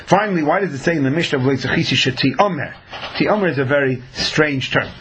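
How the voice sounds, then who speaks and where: Male rabbi lecturer, slightly high pitch, storytelling teacher, noisy recording. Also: animated, fast talker, a bit bright. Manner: mixes in Hebrew and Yiddish phrases, storytelling, rhetorical questions. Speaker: rabbi giving a Torah lecture. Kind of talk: religious lesson with acted-out dialogue.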